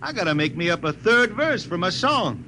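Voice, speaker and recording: A man's voice: high-pitched, very animated, normal speed. An American actor playing a defiant, folksy spaceship jetman in a drawling, insolent 1950s radio drama style. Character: defiant, folksy spaceship jetman who writes bawdy songs. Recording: noisy vintage 1950 radio broadcast.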